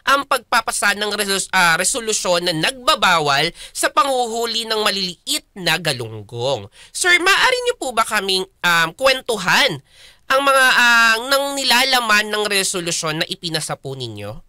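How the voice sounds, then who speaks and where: A woman's voice: mid-range voice, big pitch swings, moderate pace, pushed and bright, no echo, studio quality. A woman with a Filipino accent, co-hosting and asking questions in a radio interview.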